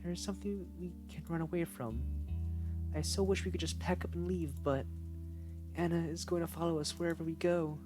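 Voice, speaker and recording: An anxious, loyal young woman who speaks in urgent, pleading bursts, voiced by a man reading the story, her voice pitched well above his own. Her words run together with emotion, pressing and insistent. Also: fairly even intonation, fairly quick, over music, close to an everyday voice.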